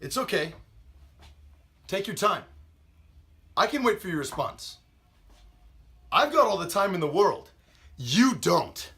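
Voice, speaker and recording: A man's voice: sits high, sing-song, slow, gravelly, long pauses, sharp tone, pitched above the performer's own voice, full character voice. A man performing a dramatic monologue as a menacing, gloating killer with an American accent, taunting and mock-polite. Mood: cold gloating. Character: menacing, gloating male killer toying with his victim.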